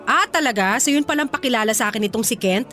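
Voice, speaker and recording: A woman's voice: a little high, fast, sharp tone, soft background music. An actress with a Filipino accent playing a proud, self-possessed young mother and ex-wife in a radio romance drama. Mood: sarcastic, taunting.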